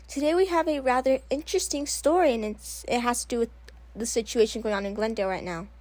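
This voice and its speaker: A halting, informal female news anchor in an audio drama, played by an amateur actress. Her voice is fairly high and recorded very dry and close. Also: a little mellow, no room sound.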